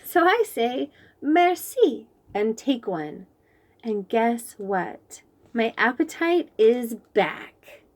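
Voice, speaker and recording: Expressive woman reading a picture book. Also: fairly high pitch, takes it slowly. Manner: expressive, animated. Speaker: children's book reader. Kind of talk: read-aloud storytelling for young children.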